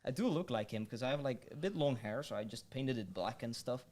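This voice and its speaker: A man's voice: mid-range voice, animated, fairly quick, a little mellow, a little hiss or hum. A man hosting a podcast interview, hesitant and stammering, repeating words as he asks his questions. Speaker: podcast host. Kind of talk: casual interview chat.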